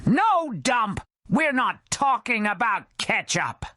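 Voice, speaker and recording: A cranky old skeleton bartender acted out by a man rambles in a high, swooping voice. He is folksy and muddled, with a sharp edge, in a satirical storybook tale. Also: very animated, slow pace, no echo, studio quality, exaggerated voice.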